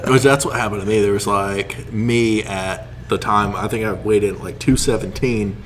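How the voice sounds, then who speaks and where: A man's voice: fairly low pitch, fairly even intonation, normal speed, heavy background noise. A man telling casual stories as a podcast co-host, joking, often laughing as he talks and acting out reactions. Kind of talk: casual storytelling banter.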